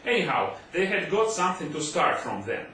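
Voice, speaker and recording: A man's voice: a little high, big pitch swings, fairly quick, pushed and bright. A man reading a humorous classic story aloud as scripted audiobook narration. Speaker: audiobook reader. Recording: very echoey room, distant mic, faint noise.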